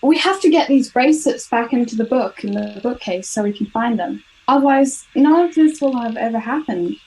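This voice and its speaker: A woman's voice: a little high, animated, moderate pace, warm tone, rough recording. An Australian girl of ten or eleven playing an earnest, urgent schoolgirl adventurer in an amateur radio play. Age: child, 10 to 11. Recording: home video-call recording.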